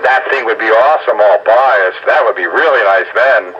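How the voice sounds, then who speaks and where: A man's voice: fairly low pitch, normal speed. A man in a casual radio chat as an amateur operator, often repeating his words for emphasis. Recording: dull lo-fi radio signal with static.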